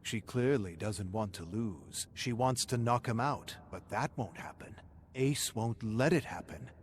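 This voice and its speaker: A man's voice: mid-range voice, unhurried. Male story narrator, noise behind him. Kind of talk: dramatic scripted story narration.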